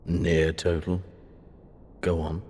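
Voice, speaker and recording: An actor playing a cold, haughty crown prince and legion commander in a video game, speaking with bored, deliberate menace. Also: male voice, low-pitched, level tone, a little mellow, husky, exaggerated voice. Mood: cold, disdainful. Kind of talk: dramatic video game cutscene acting.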